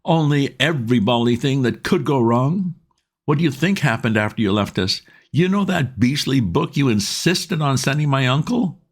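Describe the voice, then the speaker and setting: A man voicing an excitable young gentleman who talks in an agitated, exclamatory way. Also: mid-range voice, normal speed, voice pushed up, lightly acted.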